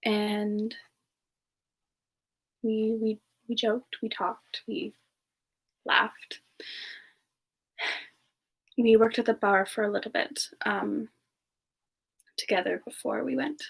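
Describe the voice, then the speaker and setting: A woman playing a bashful, soft-spoken siren ice spirit, telling her story slowly and hesitantly in a semi-ASMR roleplay. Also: medium pitch, steady tone, exaggerated voice. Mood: shy, tender. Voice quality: soft, breathy, slightly creaky. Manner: slow, hesitant, reminiscing with pauses.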